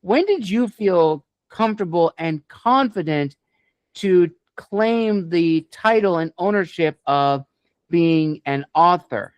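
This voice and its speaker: A man's voice: high voice, animated, slow pace, clear, resonant tone, no room sound. Guy hosting an interview, careful emphatic questions, dry sound with no room. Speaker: interview host.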